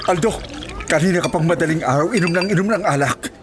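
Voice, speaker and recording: A man's voice: fairly high pitch, fast talker, background noise, lightly acted. A man with a Filipino accent playing a concerned, caring friend in a horror audio story. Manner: concerned, urging. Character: worried, caring male friend of the hunter. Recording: faint music behind the voice.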